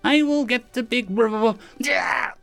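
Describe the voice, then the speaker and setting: Man doing a halting, high-pitched alien guard. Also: a bit bright, shouting, faint music behind, heavily acted. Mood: slow-witted confusion. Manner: halting, clipped broken sentences. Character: simple, halting alien guard speaking broken speech.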